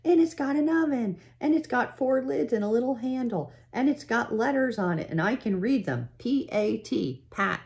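A reader performing a pair of excited little girls in a storybook reading. Their voices leap high above the narration and come out loud and breathless. They tumble over each other to show off. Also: moderate pace, hardly any pauses, close to an everyday voice. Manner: eager, breathless shouting. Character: excited little girls showing off a new stove.

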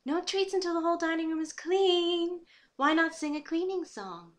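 A woman's voice: very high voice, normal speed, voice pushed up. A woman voicing a bossy, cheery pony teacher instructing young ones. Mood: cheerful, commanding. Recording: noisy home recording.

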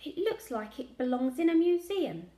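A woman's voice: high-pitched, sing-song, normal speed, warm tone, reverberant, voice pushed up. A woman voicing a worried, earnest schoolgirl in an audiobook, anxious and eager with her questions. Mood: curious, reassuring.

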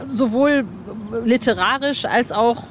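Female interviewer, higher-pitched, informal and talkative, recorded on a roof terrace with clear traffic noise. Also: takes it slowly. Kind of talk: casual chat interview. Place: outdoor roof terrace in a city. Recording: outdoor city noise, traffic behind.

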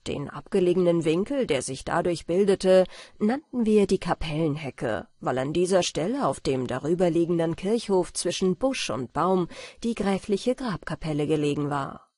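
A woman narrating a bedtime fairy tale audiobook in a gentle, measured storytelling manner. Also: lowish voice, expressive, no echo, studio quality.

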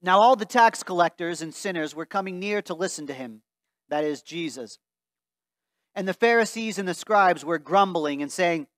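A man's voice: high voice, a bit bright, dry recording, clean studio sound. A man in his thirties, a pastor, preaching and reading scripture aloud earnestly in a church sanctuary. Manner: earnest, reads aloud from a Bible while standing. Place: church sanctuary before a stained-glass wall.

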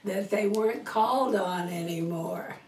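An older woman recalling college life in a slow, halting way. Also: medium pitch, a little mellow, a little hiss or hum. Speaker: alumna interviewee. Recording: distant echoey room.

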